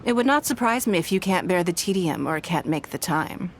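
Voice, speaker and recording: A woman voicing a poised, authoritative noblewoman in a video game, speaking in a formal, measured, composed manner. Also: lowish voice, expressive, slight background noise. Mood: composed, gracious. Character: poised, authoritative noblewoman leader employing secretaries. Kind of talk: scripted video game dialogue. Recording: background music behind voice.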